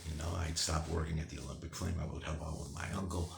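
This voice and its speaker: A man's voice: rumbling low, fairly even intonation, fast, gravelly, reverberant, slight background noise. An older man telling his own life story candidly, rambling with many fillers and restarts. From United States.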